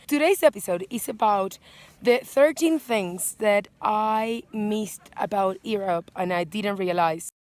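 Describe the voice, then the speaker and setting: A woman's voice: medium pitch, measured pace, darkish tone. A woman from Venezuela with a Venezuelan Spanish accent, chatting casually and emphatically as a vlog host working through a list. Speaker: vlogger and channel host.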